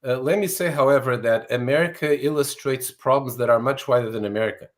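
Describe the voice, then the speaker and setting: A man in his sixties from Portugal talks through his ideas as an interview guest. He explains things earnestly and fluently, with a few restarts, at a middle pitch. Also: quick pace. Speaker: author and guest. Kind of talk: interview answers.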